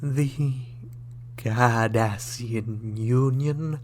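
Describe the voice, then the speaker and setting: A man playing a sly, suavely polite Cardassian ambassador in a sci-fi radio drama, with measured, insinuating courtesy. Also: medium pitch, a little mellow. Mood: sly bargaining. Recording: faint background hum and noise.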